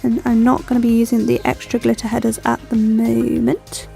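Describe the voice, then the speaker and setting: A woman's voice: on the high side, level tone, normal speed, gravelly, mellow, soft background music. Chatty woman with a cold. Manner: rambling, self-deprecating, lots of ums. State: stuffy from a cold. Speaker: planner vlogger. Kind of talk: chatty plan-with-me narration.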